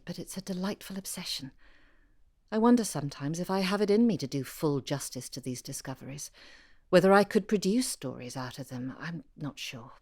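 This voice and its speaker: A woman's voice: low-pitched, a little mellow, husky, heavily acted. An actress playing a genteel, apologetic woman amateur historian in an audio drama, polite and musing as she voices her letters.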